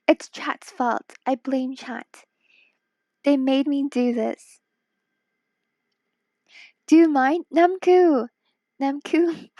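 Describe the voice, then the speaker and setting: A woman's voice: high voice, a little mellow, no echo. A woman from Hong Kong chatting casually on a late-night stream, tired and worn-voiced, playful and teasing, sometimes dropping to a whisper. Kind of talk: casual live-stream chat while drawing.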